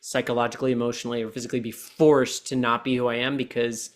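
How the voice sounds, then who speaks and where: A man in his forties, a podcast guest and coach, talking earnestly and slowing down to stress his points. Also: medium pitch, flat delivery. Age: 40s. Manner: deliberate, earnest coaching tone, slows down for key points. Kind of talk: reflective podcast conversation.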